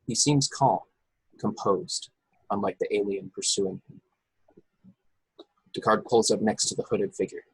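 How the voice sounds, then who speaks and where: A man's voice: lowish voice, measured pace, long pauses, breathy edge, no echo, rough recording. A man reading scripted action descriptions as narrator in an informal, unpolished way on an amateur online table read. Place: video call from a room.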